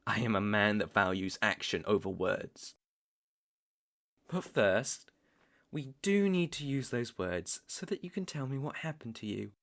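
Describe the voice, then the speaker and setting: A young British man playing a stern, taunting vampire hunter in a roleplay audio drama, speaking deliberately and with cool menace. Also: fairly low pitch, expressive, fairly bright tone. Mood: cold, serious. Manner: slow, deliberate, threatening.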